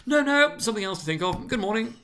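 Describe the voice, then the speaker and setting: A self-important man of business, as a male storyteller acts him, raised well above a usual male pitch. He is polite and clipped, making brisk small talk in a storybook tale. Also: animated, sharp tone, full character voice.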